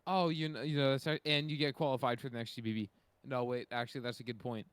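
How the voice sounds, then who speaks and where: A man co-hosting a podcast in casual chat, hesitant and thinking out loud as he talks. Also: medium pitch, flat delivery, fast talker, clean tone, no echo. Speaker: podcast co-host.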